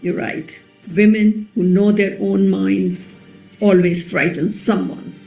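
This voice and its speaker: Mellow, slow, wise epic queen played by a woman. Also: mid-range voice, level tone. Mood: quietly sad. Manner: measured, reflective. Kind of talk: dramatic play reading, radio play. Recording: muffled recording with music behind.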